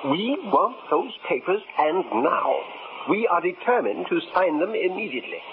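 A man's voice: high voice, expressive, moderate pace. A man playing a stuffy, indignant older householder with a pompous, scolding manner in a 1950s radio drama. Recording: muffled, noisy 1950s radio recording.

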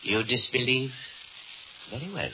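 A man's voice: mid-range voice, animated, measured pace, natural voice. A man playing a suave, sinister Devil in a 1930s radio horror drama, formal and courtly, mocking and commanding. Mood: coaxing, menacing. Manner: formal, courtly, menacing, theatrical. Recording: muffled, noisy 1930s radio recording.

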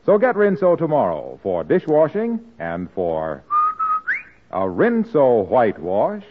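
A man's voice: medium pitch, huge pitch swings, slow pace, heavy background noise. A man working as a 1940s radio announcer, selling with an emphatic, scripted delivery. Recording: tinny, narrow-band old radio recording.

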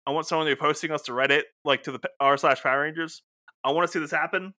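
A man's voice: a little high, fairly even intonation, racing, sharp tone, no echo. A man co-hosting a podcast, chatting casually and jokingly in an informal way. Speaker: podcast co-host. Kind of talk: casual banter.

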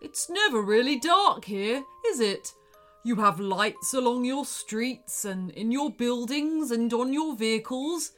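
A woman's voice: a little high, animated, takes it slowly, soft background music. A woman voicing a large, friendly male wolf in a children's storybook, sheepish, easily worried and chattily apologetic.

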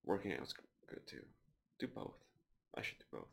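A man hosting a casual podcast, talking in a loose, rambling way with crude jokes. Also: moderate pace. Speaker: podcast host.

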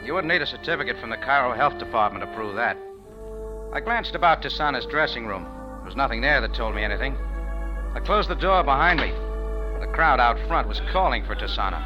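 A man's voice: mid-range voice, close to an everyday voice, rough recording. Hard-boiled radio narrator played by a man, music behind. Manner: hard-boiled, clipped, sardonic. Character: tough, wry, unruffled male cafe owner narrating his adventures. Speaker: lead actor and narrator. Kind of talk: 1940s radio acting, scripted narration.

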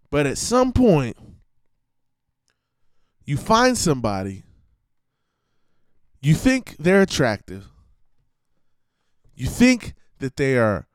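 Emphatic, slightly hoarse man ranting. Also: fairly high pitch, a little mellow, no echo, studio quality. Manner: emphatic, preachy, swearing, repeats himself. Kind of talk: casual monologue rant.

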